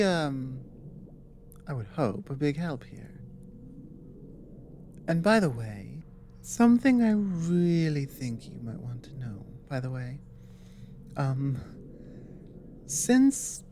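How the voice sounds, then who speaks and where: A woman playing an earnest dragon woman, a careful advisor and lover, speaking softly and hesitantly with long pauses in an intimate ASMR roleplay. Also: deep voice, slight background noise, heavily acted.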